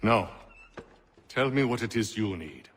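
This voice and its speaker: Low, courteous gentleman scholar. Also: animated, slight background noise. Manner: polite, formal, deliberate. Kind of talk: video game cutscene acting.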